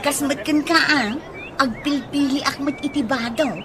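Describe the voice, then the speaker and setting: Needling, nosy Ilocano neighbour woman played by an actress, high-pitched, radio comedy, noisy recording. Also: normal speed, crowd noise, lightly acted. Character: nosy, needling village mother. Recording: noisy radio drama recording, ambient sounds.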